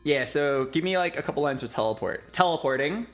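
A man's voice: a little high, expressive, a little fast, fairly bright tone, faint music behind. A man in his twenties chatting casually as a streamer while he directs a recording session. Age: 20s. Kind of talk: casual chat, giving directions. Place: indoor streaming room.

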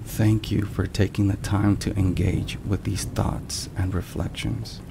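A man speaks slowly in a hushed, gravelly voice, narrating a devotional in soft ASMR style. His delivery is measured and solemn, with rain and thunder clearly heard behind him. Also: low-pitched, noisy background. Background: steady rain and thunder.

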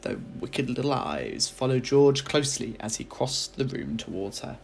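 Expressive, creaky-voiced man reading. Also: mid-range voice, moderate pace. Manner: expressive storytelling. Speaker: teacher reading aloud. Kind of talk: children's audiobook narration.

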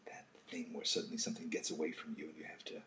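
A man in his sixties with a low-pitched voice talks off the cuff in a casual, thoughtful way. He pauses often and lets phrases sink to a murmur. The room around him adds noticeable echo, with slight background noise. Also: monotone, a bit bright. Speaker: host of a live drawing session. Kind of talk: unscripted casual talk while drawing. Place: home kitchen, indoors.

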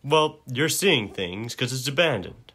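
A man playing a laid-back, overconfident rebel adventurer in an improvised game fandub, with a casual, hesitant, deadpan delivery. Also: medium pitch, a little slow. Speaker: amateur fan-dub voice actor.

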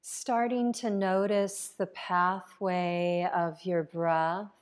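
Woman, meditation guide, extremely slow pace, even and flat intonation, calm delivery, long pauses. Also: lowish voice, smooth. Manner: calm, unhurried, with long pauses between phrases. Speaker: yoga nidra teacher.

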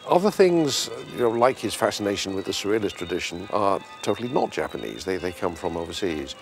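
A man with a fairly low voice explains things like an expert in an interview. His phrasing is articulate and emphatic. Music runs underneath. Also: big pitch swings, unhurried, creaky. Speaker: expert commentator on art.

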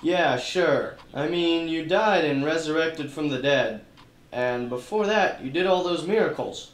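A man's voice: on the high side, a little slow. Wisecracking detective man, echoey room. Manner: wisecracking, hard-boiled narration. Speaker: detective narrator. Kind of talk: church radio play acting.

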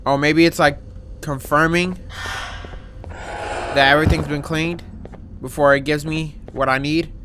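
A man in his twenties giving casual, slangy, jokey gaming commentary with some swearing and excitable reactions. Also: fairly high pitch, a little slow, pauses often. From Dominican background. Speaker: gaming video host. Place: indoor room, gaming setup. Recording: noticeable background game noise.

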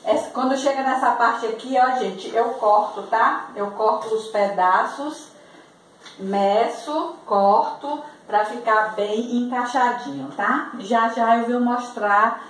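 A woman's voice: a little high, slow. A middle-aged Brazilian woman giving a casual, informal how-to demonstration, explaining step by step as she works in a home kitchen. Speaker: vlog host demonstrating DIY. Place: small tiled home kitchen. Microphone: camera mic at a distance. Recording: echoey, distant, faint room noise.

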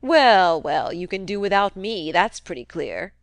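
Crabby old landowner, loud and pushy, dry close sound, a woman reading him. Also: medium pitch, lively intonation, unhurried, dry recording. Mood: cantankerous. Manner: gruff, wheedling, loud and emphatic. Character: cantankerous domineering old country man.

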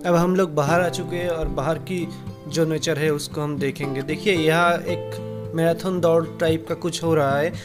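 A man's voice: a little high, steady tone, moderate pace, music in the background. A man giving casual narration for a travel vlog as its host.

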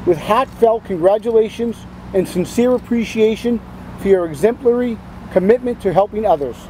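Guy reading an award citation outside, high mellow voice, faint traffic. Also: poor audio. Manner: formal and measured. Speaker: uniformed official reading a citation. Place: outdoors at a podium in front of a brick building. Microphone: podium mic.

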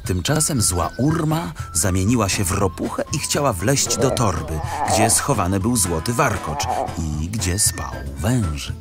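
A man with a deep voice narrates a fairy tale. His delivery is slow and dramatic, with lively swings in pitch, like scripted storytelling for a cartoon. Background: music.

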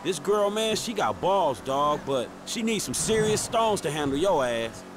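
An actor playing a streetwise, wry young hustler in a video game, talking in casual, protesting slang. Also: male voice, on the high side, big pitch swings, unhurried, background noise. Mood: exasperated, amused. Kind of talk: naturalistic video game acting.

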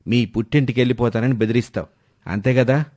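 A man's voice: mid-range voice, steady tone, fast, a little mellow, dry recording, clean studio sound, close to an everyday voice. A man playing a stern, exasperated but yielding father, reproachful and grumbling, in a moral story.

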